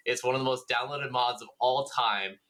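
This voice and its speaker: A man's voice: medium pitch, steady tone, moderate pace, bright, forceful tone. A man co-hosting a gaming podcast, talking in a casual, rambling, enthusiastic way with the odd swear word. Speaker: podcast co-host.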